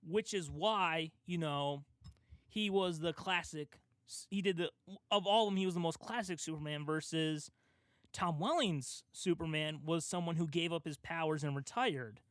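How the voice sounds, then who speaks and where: Youngish guy podcaster, kinda high voice, very dry sound, explains his point emphatically step by step. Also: normal speed, fairly bright tone, no echo. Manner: explanatory, emphatic, talks through an argument step by step. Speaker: podcast host. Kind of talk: casual pop culture discussion.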